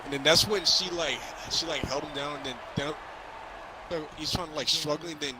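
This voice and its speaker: A young man chatting casually while gaming, telling things in a rambling, halting way. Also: a little high, fairly even intonation. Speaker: gamer reviewing a film with a friend. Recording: crowd and ball bounces from game. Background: basketball video game crowd noise.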